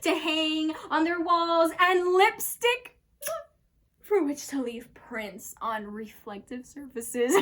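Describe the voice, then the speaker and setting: A woman's voice: sits high, lively intonation, slow, a bit bright, pitched above the performer's own voice, heavily acted. A young woman playing a manic, unhinged storyteller in a theatrical dramatic monologue, erratic and breaking into laughter through her words. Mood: manic, giddy. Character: manic, unhinged young woman telling bitter absurd stories.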